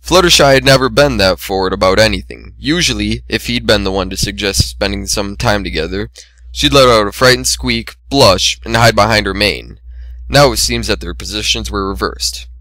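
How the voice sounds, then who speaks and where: Bright-voiced male amateur story narrator. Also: on the low side, normal speed, no room sound, rough recording. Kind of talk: dramatic reading of fan fiction, scripted narration.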